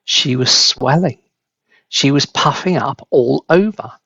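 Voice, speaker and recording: A man reading a children's story aloud as a dramatic, deliberate, expressive narrator. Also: mid-range voice, vocal fry, slightly rough, no room sound, highs cut off. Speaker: storybook reader.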